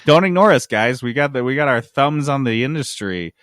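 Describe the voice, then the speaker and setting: A man's voice: medium pitch, lively intonation, a little fast, fairly bright tone, dry recording, studio quality. A man co-hosting a casual gaming podcast, talking in a joking, sarcastic way. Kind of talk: casual gaming news chat.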